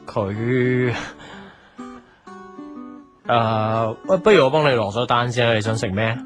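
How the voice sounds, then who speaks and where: A man's voice: medium pitch, slow, close to an everyday voice. A man with a Hong Kong Cantonese accent playing a wistful, struggling café owner who narrates a reflective, melancholy audio drama. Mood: polite, subdued. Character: wistful struggling café owner recalling his lost wife. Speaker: lead actor and narrator. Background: music behind the voice.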